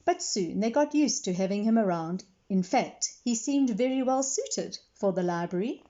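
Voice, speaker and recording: A woman's voice: medium pitch, animated, moderate pace, warm tone. Woman reading a storybook, slightly dull sound. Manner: clear explanatory storytelling for young listeners. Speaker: librarian reading a picture book aloud to children. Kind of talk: storybook read-aloud with simple explanations for children.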